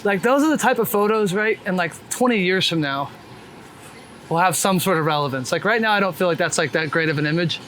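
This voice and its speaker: A man's voice: sits high, lively intonation, fairly quick, fairly bright tone. A man chatting casually and candidly in slangy, sweary talk while out walking on a city street. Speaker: guest, street photographer and former BMX vlogger. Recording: noisy city street with traffic. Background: clear traffic and street noise.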